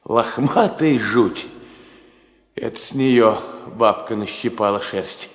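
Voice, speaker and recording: A man's voice: on the low side, slow. An actor playing a tender, bossy, teasing man courting his sweetheart in a Soviet radio play, coaxing her playfully. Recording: 1970 radio play, long reverb.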